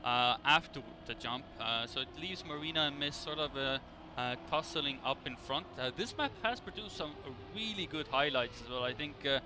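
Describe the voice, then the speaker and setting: A man giving live, conversational esports commentary in a halting, rambling way as he follows the play. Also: mid-range voice. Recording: clear background noise.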